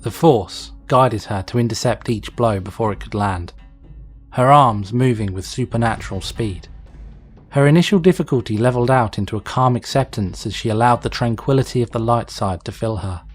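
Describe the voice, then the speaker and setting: A man's voice: mid-range voice, normal speed, faint music behind. A man reading an action story aloud as narrator, in a dramatic scripted style. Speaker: fan fiction reader, narrator.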